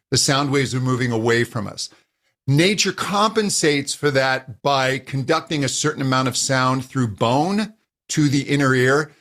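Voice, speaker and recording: A man who coaches voice users, answering interview questions and explaining at an uneven, animated pace. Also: medium pitch. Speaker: voice coach, guest.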